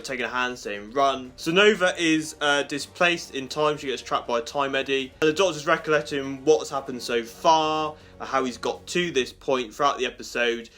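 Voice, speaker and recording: Bright-voiced man reviewing casually. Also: medium pitch. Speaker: reviewer, channel host.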